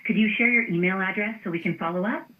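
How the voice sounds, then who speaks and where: A woman's voice: mid-range voice, fast. A woman's synthetic voice working as an automated phone receptionist, polite and formulaic in scripted customer-service phrases. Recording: tinny phone line.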